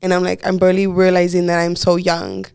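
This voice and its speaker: Smooth-voiced woman in her twenties. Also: fairly low pitch, monotone, a little mellow. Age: 20s. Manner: earnest, drawn-out storytelling with lots of "like". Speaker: podcast co-host. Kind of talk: casual chat.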